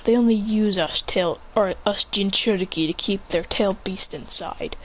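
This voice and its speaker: A woman's voice: medium pitch, normal speed, highs cut off, close to an everyday voice. A woman voicing a bitter, angry young male ninja outcast, talking in resentful outbursts.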